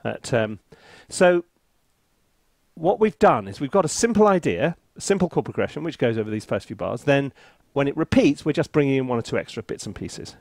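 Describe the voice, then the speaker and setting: A man talks through a tutorial as he works, chatty and self-deprecating. His pitch swings about freely, with some gravel in the voice, recorded close and dry. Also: sing-song, fairly quick, darkish tone, dry recording. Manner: self-deprecating, playful, chatty, thinking aloud. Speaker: composer presenting a music software tutorial and review. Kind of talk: casual think-aloud tutorial commentary. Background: orchestral sample music.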